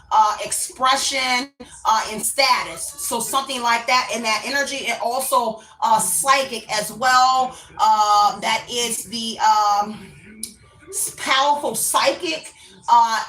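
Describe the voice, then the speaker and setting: A woman's voice: on the high side, sharp tone, echoey room, low-quality recording. A woman giving a casual, rambling tarot reading to her viewers. She often hedges with "I don't know" and calls the listener "baby.". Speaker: tarot reader.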